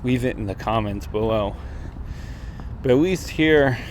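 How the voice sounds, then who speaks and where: A man's voice: medium pitch, unhurried. A man in his thirties with an American accent, chatting casually to viewers as he gives instructional tips into a camera held at arm's length. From United States. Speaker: amateur radio vlogger. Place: outdoors, open park path beside water. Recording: outdoor recording with traffic and machinery noise. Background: jets, vehicles, urban outdoor noise.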